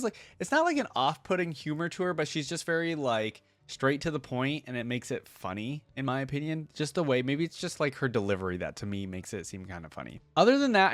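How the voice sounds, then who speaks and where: A man's voice: mid-range voice, no room sound. Guy doing casual reaction commentary, enthusiastic, voice jumps around a lot, super dry recording. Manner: enthusiastic, musing aloud. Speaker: reaction video host.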